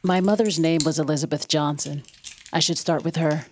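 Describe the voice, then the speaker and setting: Actress as a low-voiced, reflective woman narrator. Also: a little hiss or hum, close to an everyday voice. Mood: wistful. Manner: measured, wistful. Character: reflective grown daughter recalling her childhood. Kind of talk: scripted first-person audio drama narration.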